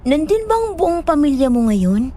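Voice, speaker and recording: A very high-pitched young woman in love, played by a woman in an audio story drama. She is pleading and stubborn, pressing her boyfriend with an insistent, emotional manner. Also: very animated, moderate pace, mellow. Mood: nervous. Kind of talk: Filipino audio horror-story drama acting.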